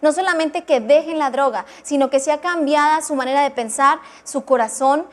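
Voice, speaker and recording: High-pitched young woman explaining earnestly. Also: normal speed. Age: 20s. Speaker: guest on a church TV program. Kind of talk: testimonial explanation.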